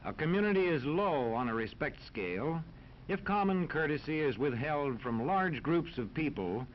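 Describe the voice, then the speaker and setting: An American man narrating a scripted 1940s educational film in a formal, authoritative, measured manner. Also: on the high side, expressive. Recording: dull 1940s film soundtrack, faint noise.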